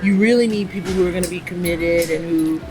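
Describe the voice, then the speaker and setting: A woman's voice: on the low side, fast talker, over music. A woman entrepreneur telling her stories with energy and confidence in a casual interview. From United States. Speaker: guest entrepreneur.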